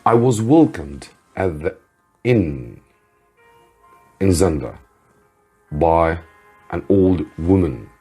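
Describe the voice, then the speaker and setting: A man with a deep, somewhat raspy voice narrates a story for language learners. He goes slowly and deliberately, like a teacher reading aloud, over a faint bed of music. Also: expressive, warm tone.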